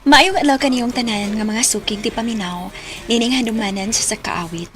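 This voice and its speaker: A woman's voice: fairly high pitch, fairly quick, over music, lightly acted. An actress playing a heartbroken, betrayed young woman in a melodramatic radio drama, plaintive and emotional. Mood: wistful.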